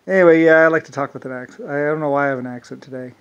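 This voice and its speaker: Rambling man with a higher voice. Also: very fast, a bit bright, clear, resonant tone. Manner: meandering, restarts often, many "anyway" asides. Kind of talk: rambling solo monologue.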